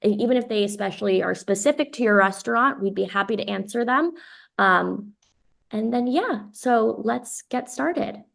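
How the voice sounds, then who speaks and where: A woman's voice: fairly high pitch, normal speed, vocal fry, fairly bright tone. A woman hosting a business webinar, upbeat and chatty with frequent ums. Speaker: webinar host. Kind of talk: webinar introduction.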